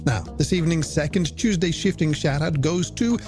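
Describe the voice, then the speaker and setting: A man's voice: fairly high pitch, normal speed. An Irish man presenting a late-night music radio show in a polished, theatrical way with flowery phrasing and rhetorical questions, over a music bed.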